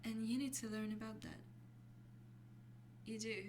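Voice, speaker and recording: A woman playing an affectionate young vampire girlfriend in an ASMR roleplay, speaking softly and intimately in a hesitant, breathy voice that often drops to a whisper. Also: on the high side, steady tone. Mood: gentle, instructive. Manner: slow, hesitant, intimate, with small breathy laughs. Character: affectionate young female vampire girlfriend mentoring a newly turned lover. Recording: clearly noisy recording with hum.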